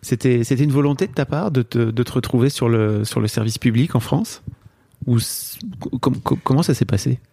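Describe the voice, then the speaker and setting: A man's voice: medium pitch, steady tone, moderate pace, creaky, dark tone, husky, no echo. A man hosting a podcast, asking questions informally and circling around them as he goes. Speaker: podcast host. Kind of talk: casual interview chat.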